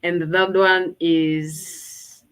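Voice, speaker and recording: A woman's voice: fairly low pitch, flat delivery, extremely slow, smooth. A woman hosting a casual online launch party over a video call, chatty and thinking aloud as she talks. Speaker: host.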